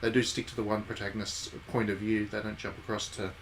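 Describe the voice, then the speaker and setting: A man's voice: on the low side, little pitch movement, fast talker, slight background noise, rough recording. A man giving casual, wry live commentary as he streams a visual novel. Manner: wry, jokey asides. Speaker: game streamer.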